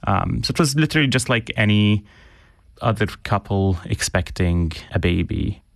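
A young man from Syria, an interview guest speaking earnestly, pausing with frequent ums and restarts. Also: on the low side, lively intonation, vocal fry, no room sound, studio quality. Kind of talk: reflective interview conversation.

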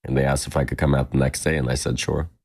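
A man's voice: rumbling low, level tone, fast talker, vocal fry, darkish tone. A man from the British Virgin Islands talking casually as he is interviewed. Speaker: interviewee, sailor.

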